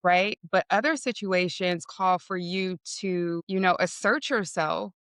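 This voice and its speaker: A woman guest with a deeper voice, explaining in a measured way and often checking with "right?". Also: fairly bright tone, clear, resonant tone, dry recording, studio quality. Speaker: podcast guest. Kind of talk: casual interview conversation.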